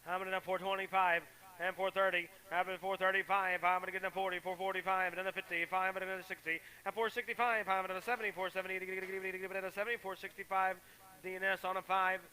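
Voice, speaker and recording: A man's voice: high-pitched, little pitch movement, fast talker, pushed and bright, rough recording. A man working as a livestock auctioneer, calling bids in a rhythmic chant that rolls filler words over and over. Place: livestock market sale barn. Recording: faint hiss on livestream.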